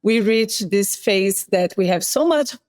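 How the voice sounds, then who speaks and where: A woman with a Brazilian accent explains things in a measured, explanatory way, pausing to correct herself. Her voice sits in a middle pitch, close and dry on the mic, with no room sound. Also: lively intonation, darkish tone, no room sound. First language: Portuguese. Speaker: guest scientist. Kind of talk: interview explanation.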